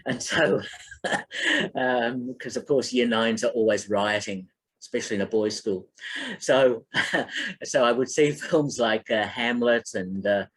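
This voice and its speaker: A man's voice: mid-range voice, slow pace, fairly bright tone, a little hiss or hum. An older Australian man, German his first language, giving an online talk about his life in film, rambling with many fillers and restarts. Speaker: film critic and speaker.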